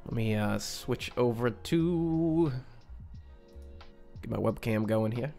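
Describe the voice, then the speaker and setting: A young man from Western New York talks casually to his viewers, his mid-range voice a little raspy and congested from a lingering cold. He is chatty and relaxed, reading out the chat with friendly asides. Faint music plays behind him. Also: mid-range voice, slow pace. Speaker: live stream host. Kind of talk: casual live stream chat.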